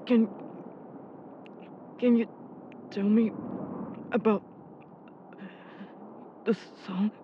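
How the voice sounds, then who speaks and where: A woman playing a wounded, tender-hearted young man in an audio drama, speaking in a halting, strained, pleading way. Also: medium pitch, steady tone. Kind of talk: naturalistic audio drama acting. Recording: dull sound with vehicle road noise.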